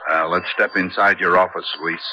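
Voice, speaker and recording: A man's voice: deep voice, level tone, normal speed, close to an everyday voice. An actor playing an easygoing, savvy American male foreign correspondent in a 1970s radio drama. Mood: joking, discreet. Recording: muffled old radio, faint noise.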